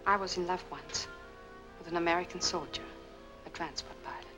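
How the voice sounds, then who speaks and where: An actress playing a troubled, demure young French widow in a 1940s Hollywood film. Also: female voice, fairly low pitch, brisk, close to an everyday voice. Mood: troubled, sorrowful. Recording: old film soundtrack, music behind.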